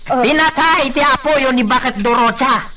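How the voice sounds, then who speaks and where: An actor playing an indignant villager hurling accusations in an Ilocano radio drama. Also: male voice, very high voice, moderate pace, heavy background noise. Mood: accusing.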